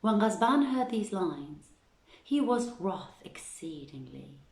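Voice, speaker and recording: Low-voiced, unhurried woman in a roomy space. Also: sing-song, vocal fry, distant mic. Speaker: reader and host of a live-streamed reading series. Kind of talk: literary storytelling read aloud, archaic prose and verse. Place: indoors at home. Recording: roomy home livestream echo.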